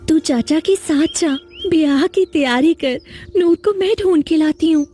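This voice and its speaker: A woman's voice: high voice, measured pace, dark tone, soft background music. A woman voicing an anxious, determined young village woman in a cartoon, earnest and dramatic.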